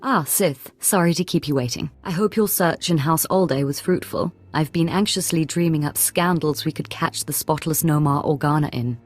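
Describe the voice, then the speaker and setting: A woman's voice: sits low, normal speed, few pauses, faint music behind. An actress playing a scheming, gossip-loving noblewoman in a sci-fi video game, polished and conspiratorial. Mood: courteous.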